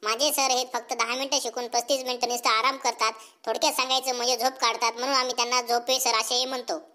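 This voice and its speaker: A man's voice: extremely high pitch, flat delivery, quick pace, sharp tone, full character voice. A man voicing a bold, cheeky cartoon schoolboy with a rural Marathi accent and a blunt, folksy way of talking. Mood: mock-formal, cheeky. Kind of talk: comic cartoon dialogue and mock school speech.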